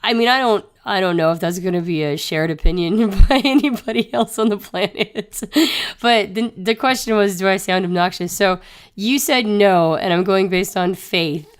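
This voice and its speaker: A woman co-hosting a podcast in casual chat, her voice hoarse and smoky after being sick, laughing often. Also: mid-range voice, moderate pace. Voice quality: husky, smoky. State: hoarse, voice recovering from illness. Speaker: podcast co-host.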